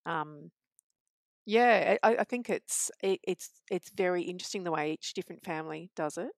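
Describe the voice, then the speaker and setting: A woman in casual conversation, reflective and earnest, pausing with frequent ums. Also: lowish voice, gravelly, dry recording, studio quality.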